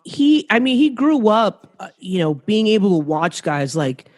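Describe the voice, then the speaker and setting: A woman's voice: mid-range voice, big pitch swings, measured pace, a little mellow. An American woman hosting a podcast in a casual, informal interview style. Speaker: podcast host.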